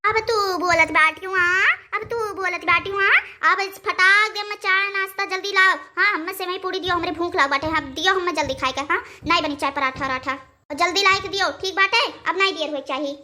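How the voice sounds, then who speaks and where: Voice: very high-pitched, brisk, pushed and bright, exaggerated voice. A performer voicing a bossy, scolding old mother-in-law with an Awadhi accent in a family cartoon. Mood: impatient, demanding. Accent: Awadhi, eastern Uttar Pradesh. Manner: scolding, commanding.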